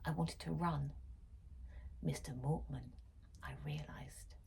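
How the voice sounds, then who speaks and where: A woman reading a children's story expressively and dramatically, shifting between hushed and emphatic delivery. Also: deep voice, steady tone, takes it slowly, creaky, a little hiss or hum. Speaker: story reader.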